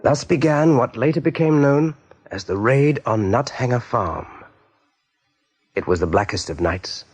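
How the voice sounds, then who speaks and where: An actor as the storyteller of a radio play, narrating in measured, dramatic phrases. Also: male voice, mid-range voice, expressive, slightly rough, faint music behind. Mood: hushed, suspenseful. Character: measured, suspenseful male storyteller.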